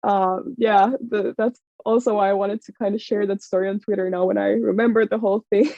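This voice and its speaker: A woman from Finland with a strong Finnish accent, telling a story as a podcast guest, excitable, laughing often and full of fillers and restarts. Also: medium pitch, fairly quick, dry recording. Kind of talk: casual storytelling chat. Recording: slightly dull lo-fi sound.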